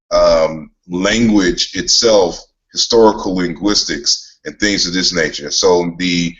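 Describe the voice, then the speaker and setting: A man being interviewed as an author, explaining his research in a steady, explanatory way with many "uh" and "you know" fillers. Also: low-pitched, lo-fi sound. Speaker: author and guest. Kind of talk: relaxed interview talk.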